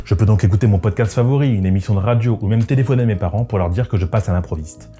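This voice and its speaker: A man's voice: lowish voice, racing. A man giving scripted, first-person narration as a motorcycle vlogger, in a reflective, conversational manner. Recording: music behind the voice. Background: background music.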